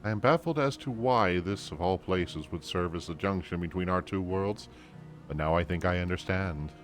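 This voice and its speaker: A man voicing a learned fantasy game scholar who guides a traveler in a formal, measured way. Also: sits low, soft background music, close to an everyday voice. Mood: explaining, reverent.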